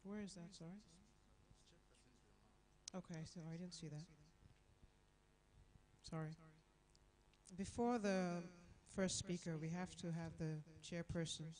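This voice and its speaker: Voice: medium pitch, fairly even intonation, slow pace, long pauses, darkish tone, low-quality recording. A conference emcee introducing the next speakers in a casual way, checking microphones and apologizing between introductions. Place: conference venue.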